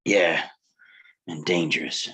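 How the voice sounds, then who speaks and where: An actor playing a terse, weary retired frontier lawman in a live western table read, in a husky voice. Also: low-pitched, steady tone, slow, bright, forceful tone, full character voice. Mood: wary.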